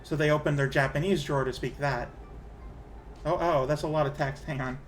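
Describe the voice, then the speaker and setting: A man's voice: on the high side, steady tone, brisk, faint music behind. A man streaming a game, chatting casually in a rambling way and often restarting his sentences. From Canada. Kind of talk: casual chat while gaming.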